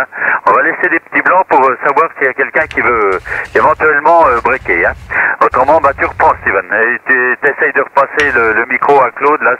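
A man's voice: mid-range voice, sharp tone. A man from France chatting and explaining casually as an amateur radio operator, through a two-way radio transmission. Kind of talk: casual radio group chat. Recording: muffled noisy shortwave radio transmission.

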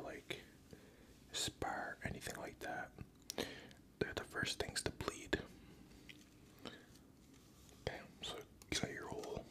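A young adult whispering crude, rambling chat close to a studio microphone at home, a little tipsy. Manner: profane, rambling, joking. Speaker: ASMR creator. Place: home room. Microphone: studio USB microphone.

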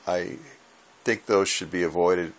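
An American man in his sixties with a gravelly voice, giving informal hobby advice to camera in a halting, reflective, conversational way. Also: low-pitched, fairly even intonation. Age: 60s. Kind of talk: informal instructional talk to camera. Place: small indoor home radio room.

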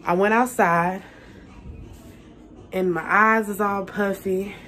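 A young woman with a Southern American accent, a mom chatting casually into a phone held at arm's length, in a relaxed, slangy way. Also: medium pitch, takes it slowly. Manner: chatty, laid-back, slangy. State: stuffy nose. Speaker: vlogger, mom. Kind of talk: casual selfie vlog chat. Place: car at a gas station, then a home kitchen.

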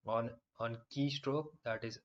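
Man, software tutorial, flat monotone delivery, muffled lo-fi recording. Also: medium pitch, unhurried, slight background noise. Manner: explanatory, many fillers and restarts.

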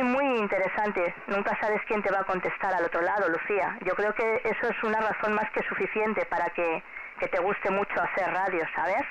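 A middle-aged woman with a Spanish accent from Spain, chatting over amateur radio in a friendly, encouraging way and often repeating herself. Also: mid-range voice. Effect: two-way shortwave radio transmission. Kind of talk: casual radio contact chat addressed to a child. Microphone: heard through a radio transceiver speaker. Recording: noisy, muffled amateur radio signal.

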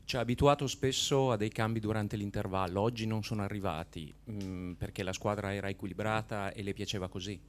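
A man's voice: on the low side, steady tone, brisk, hardly any pauses. A man, a sports journalist, putting questions to the coach at a press conference.

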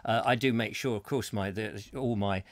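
A man's voice: lowish voice, normal speed, no room sound. A middle-aged English man hosting a comic chat show from a small home studio, playing up a stuffy persona and dropping to a mutter for his jokes. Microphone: headphones, desk mic.

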